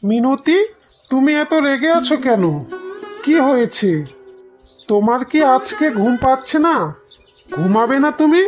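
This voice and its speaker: Woman as high-voiced, placating cartoon husband. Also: a little slow, long pauses. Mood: coaxing, puzzled. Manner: coaxing, reasoning, mildly exasperated. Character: placating, puzzled husband of a sulking wife. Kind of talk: Bengali moral-story cartoon acting. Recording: slightly dull, lo-fi sound. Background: plucked string music.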